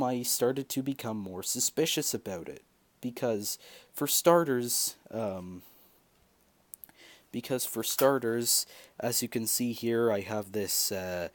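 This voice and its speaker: A young man talks casually to camera in a hesitant, rambling way, like a hobby vlogger. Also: mid-range voice. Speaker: video creator showing collection. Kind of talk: casual vlog talk to camera.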